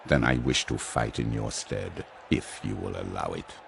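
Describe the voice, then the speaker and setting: An actor playing a grim, cold, proud berserker champion of a Chaos warband in an audio drama, speaking in subdued, menacing tones with a gravelly voice. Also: male voice, a bit bright, a little hiss or hum, heavily acted. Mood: cold, flat. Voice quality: gravelly, very deep. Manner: subdued, slow, menacing delivery.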